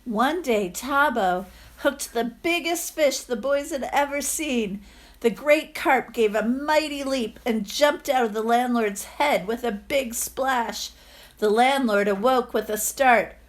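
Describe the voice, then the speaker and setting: Female storybook reader, teacherly and expressive, slightly high voice, very measured pace. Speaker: teacher reading aloud to children.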